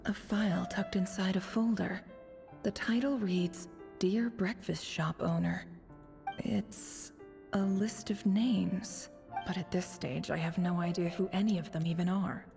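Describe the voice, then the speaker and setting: A woman voicing a cool, confident businesswoman investigator in a video game, composed, deliberate and breathy at times. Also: on the low side, over music. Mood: intrigued, musing.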